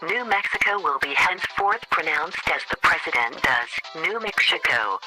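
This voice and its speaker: A very bright, forceful, slightly high actor's officious government handler delivers comic radio orders. He is formal and deadpan, a stiff bureaucrat reading a satirical script, with faint music behind him. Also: moderate pace, full character voice, poor audio. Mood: official, deadpan. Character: officious government handler leaving absurd sleeper cell orders.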